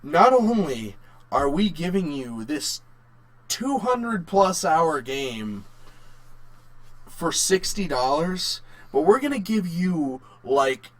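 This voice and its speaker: Male co-host, somewhat high pitch, very unhurried delivery, informal chat with emphatic repetition. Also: sing-song, rough recording. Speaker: podcast co-host. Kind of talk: casual chat.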